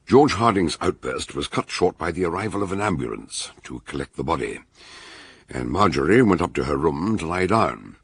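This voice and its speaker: An actor playing a bluff, hearty older British detective doctor in a radio drama, ponderous and exclamatory, with a husky voice. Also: male voice, deep voice, sing-song, moderate pace, no echo, full character voice. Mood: measured.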